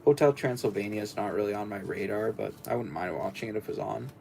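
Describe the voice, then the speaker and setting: A man's voice: quick pace, warm tone, soft background music. A young man hosting a casual livestream, talking in a rambling, hesitant way with lots of fillers and restarts. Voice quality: deep, gravelly voice. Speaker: livestream host. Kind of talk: casual livestream chat while building.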